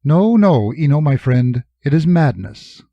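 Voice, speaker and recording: A man's voice: fairly high pitch, big pitch swings, a little slow, vocal fry, no room sound, dull audio, full character voice. A man voicing a male Phoenician pirate-merchant who haggles and fusses, earnest and dramatic. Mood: worried.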